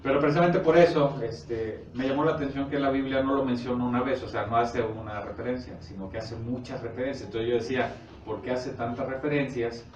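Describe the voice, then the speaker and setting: A man with a Mexican accent preaching informally and explaining scripture in a conversational, halting way with rhetorical check questions. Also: medium pitch, fairly even intonation. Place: echoey indoor congregation room. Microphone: distant microphone. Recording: echoey, noisy, muffled room recording from far off.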